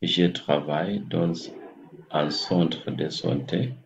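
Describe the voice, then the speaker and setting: A man in his forties with a Kenyan English accent talks to his webcam, a native English speaker hunting for each word as he goes. His voice sits very low with a gravelly fry, and the pace is unhurried. The sound is muffled and lo-fi, like a laptop mic in a small room. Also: a little hiss or hum. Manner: slow, halting, searching for French words. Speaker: health channel host. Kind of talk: casual address to camera. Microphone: laptop webcam at desk distance.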